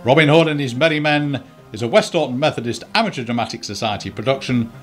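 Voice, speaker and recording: A man's voice: mid-range voice, fast talker, faint music behind. A man reading the closing credits of an amateur radio play in a dry, wry manner.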